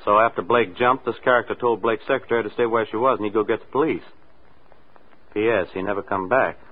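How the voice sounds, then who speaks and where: A man's voice: on the low side, a little fast, close to an everyday voice. An American man acting a suspicious, insistent, anxious fellow in a 1940s radio drama, with urgent slangy delivery. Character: anxious, suspicious male oil company stooge, blunt and insistent. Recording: muffled, narrow-band old radio recording.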